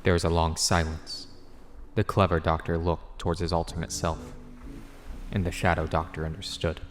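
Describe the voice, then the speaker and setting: A man's voice: low voice, level tone, moderate pace, in a large hall, slight background noise. A man narrating a scripted dramatic story for an audio drama.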